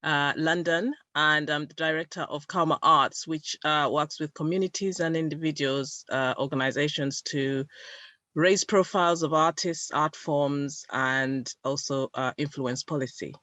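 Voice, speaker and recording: A woman's voice: low voice, steady tone, a little slow, sharp tone, no room sound. A woman from the United Kingdom, director of an arts organisation, introducing herself on a video call. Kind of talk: self-introduction.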